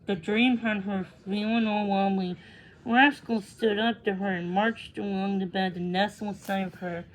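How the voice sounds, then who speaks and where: A woman's voice: medium pitch, steady tone, a little slow, poor audio. A woman reading a children's storybook aloud as a read-along storyteller, stumbling now and then over the text.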